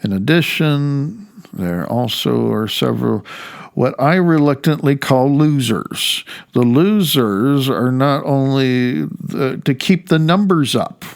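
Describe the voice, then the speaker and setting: A man's voice: mid-range voice, lively intonation, takes it slowly, gravelly. A man in his fifties reading his own reflective audiobook essay, with wry, emphatic phrasing.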